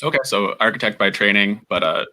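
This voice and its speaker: A man's voice: lowish voice, expressive, a little fast, pushed and bright. A man working as a studio coordinator, interviewing a guest in a casual, upbeat way with many fillers.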